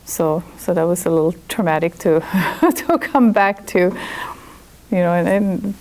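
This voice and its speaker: A Swedish-accented woman in her fifties speaks with a low, gravelly voice. She tells her own story to a listening audience, candid and chatty. She often restarts her sentences as she goes. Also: unhurried, darkish tone. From Sweden. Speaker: chiropractor and guest speaker. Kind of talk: personal story talk to an audience.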